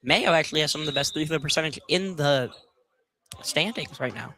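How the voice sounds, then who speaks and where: Bright, forceful man giving basketball analysis. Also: on the high side, brisk. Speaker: color commentator at basketball game. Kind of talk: casual live sports commentary. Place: indoor basketball field house.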